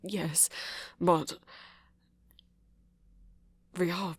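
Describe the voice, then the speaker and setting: A man voicing a shy, smitten young vampire in an ASMR roleplay, soft and halting. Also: high voice, steady tone, husky, no room sound, exaggerated voice. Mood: bashful, tender.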